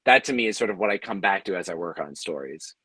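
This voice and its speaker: A man speaking as an audio drama creator in a conversational panel discussion, thinking aloud in a halting, thoughtful way with repeated words. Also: low voice, lively intonation, bright, forceful tone, breathy edge, no room sound.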